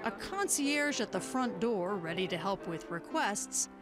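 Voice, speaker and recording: A woman's voice: medium pitch, expressive, unhurried, music in the background. An American woman working as a news correspondent, reading scripted broadcast narration and putting questions in interviews.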